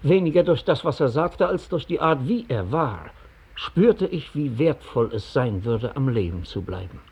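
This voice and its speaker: A brooding bitter informer telling his past, raspy medium voice, old radio play, very deliberate and intense. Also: medium pitch, animated, darkish tone. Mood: brooding, reflective. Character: bitter, reflective male former Gestapo informant recounting his past. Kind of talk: mid-century radio drama monologue.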